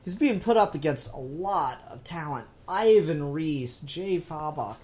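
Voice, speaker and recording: A man's voice: a little high, expressive, unhurried, darkish tone, breathy edge. A man talking casually as a podcast co-host in a review discussion, in a hesitant, hedging, conversational way.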